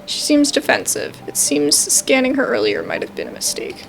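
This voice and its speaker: Girl gamer reading game text out loud, high pitched, super fry-heavy, casual. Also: huge pitch swings, moderate pace, slight background noise, poor audio. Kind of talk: casual let's play, reading narration aloud. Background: game music.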